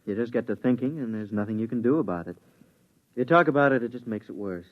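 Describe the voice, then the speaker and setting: A man's voice: mid-range voice, quick pace, phone-quality sound, slight background noise, natural voice. Actor as plainspoken spaceman, thin vintage radio sound. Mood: wistful. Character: easygoing, wistful married moon-base crewman. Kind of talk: 1950s radio drama acting.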